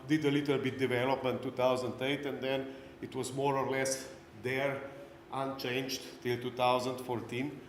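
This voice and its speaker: A middle-aged man with a Slovenian accent gives a technical talk at a conference, speaking English as a second language with dry self-deprecating jokes and many fillers. His voice echoes around a large hall with a long reverb tail. A faint hiss of room noise sits underneath. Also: medium pitch.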